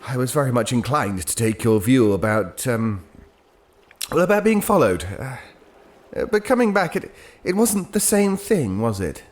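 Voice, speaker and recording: An actor with a British accent playing a hesitant, reassuring gentleman who tells an eerie tale in an audio drama, halting and conversational. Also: mid-range voice, big pitch swings. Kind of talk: audio drama ghost story narration.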